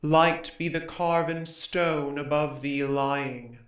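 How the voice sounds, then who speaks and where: Man as higher-voiced, slow, mournful chorus member. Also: fairly even intonation. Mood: mournful, reverent. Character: mournful, tender male chorus member of Greek tragedy. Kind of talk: classical verse drama reading. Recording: echoey room.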